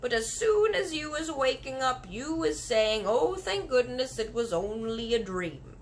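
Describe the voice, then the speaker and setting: Brief: gentle stubborn old giant, slow and lilting, high for a giant, a woman reading it aloud. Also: very animated, full character voice. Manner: slow, mangled grammar and made-up words. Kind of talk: storybook reading.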